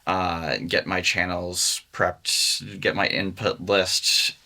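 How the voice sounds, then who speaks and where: A man, a music engineer guest, talking casually in an interview, pausing often with fillers. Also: deep voice, fairly even intonation, creaky, pushed and bright. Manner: hesitant, many fillers.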